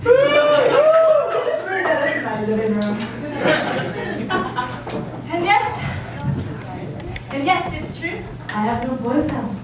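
A young woman performing a cheeky comic song on a comedy club stage, with a playful, sing-song delivery. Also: sits high. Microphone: stand mic, recorded by a far camera. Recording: echoey club sound from far off, audience noise. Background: ukulele strumming and audience.

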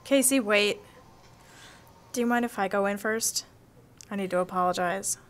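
A woman's voice: medium pitch, moderate pace, lightly acted. A young woman acting as a frank female college student in an audio drama. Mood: remorseful. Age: young adult. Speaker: student actor.

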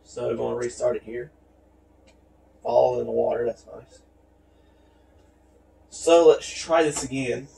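A man's voice: medium pitch, unhurried, vocal fry, pauses often, dark tone. Scratchy-voiced American man gaming. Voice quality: slightly scratchy. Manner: folksy, colloquial. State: scratchy voice from shouting and allergies. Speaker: gaming channel host. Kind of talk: casual racing game commentary.

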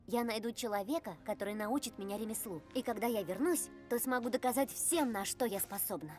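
An actress voicing a plucky, confident young female gnome in an animated film dub, speaking in a spirited, expressive way. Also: a little high, brisk, faint music behind, natural voice. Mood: earnest, determined.

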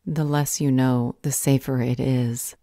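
A woman playing a firm, determined leader in an audio roleplay drama, stern and measured. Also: very deep voice, steady tone, dark tone, no room sound, studio quality. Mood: firm, reassuring. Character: firm, determined woman leading a fight against a villain.

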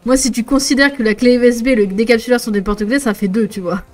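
A woman's voice: fairly high pitch, fairly even intonation, quick pace, darkish tone, faint music behind. A young woman co-hosting a live stream, teasing and joking in casual chat. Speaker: stream co-host.

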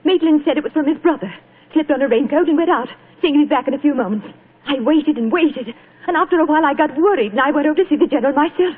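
An anxious, frightened wife in a vintage radio play. Her high voice hurries along, and the recording is thin and phone-like. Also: expressive, fast. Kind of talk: 1940s radio acting. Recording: vintage 1940s radio broadcast.

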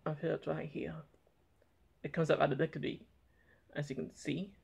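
A young man talking plainly and a little haltingly as he reviews eggnog on a home vlog, filmed by a camera on the table in a small room. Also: on the high side, little pitch movement, creaky, slightly rough. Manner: plain, unhurried, slightly halting talk. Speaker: product reviewer. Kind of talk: casual taste-test vlog. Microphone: camera on table in front.

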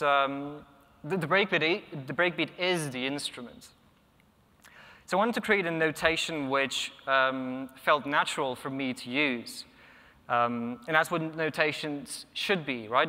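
A man's voice: fairly high pitch, bright, forceful tone. Young man lecturing in a reverberant hall. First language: Polish. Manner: thoughtful, many ums and restarts. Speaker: conference speaker. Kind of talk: technical conference talk. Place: large conference hall, at a lectern. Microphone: lectern microphone.

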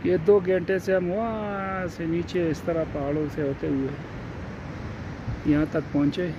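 Man, vlogger, casual hiking narration, chatty, outdoors on a mountain trail, phone mic, clear wind noise. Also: fairly high pitch, fairly even intonation, a little slow, dull audio. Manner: chatty, pointing things out as he walks.

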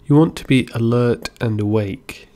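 A man gently guiding a meditation as an instructor, in an unhurried way with pauses between instructions. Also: mid-range voice. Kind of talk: guided body scan meditation narration.

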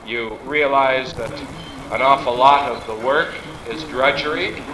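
A man giving a measured, articulate speech at a business meeting, on 1960s reel-to-reel tape. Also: mid-range voice, roomy sound, noisy background. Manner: measured, articulate, formal wording. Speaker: business speaker. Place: meeting room.

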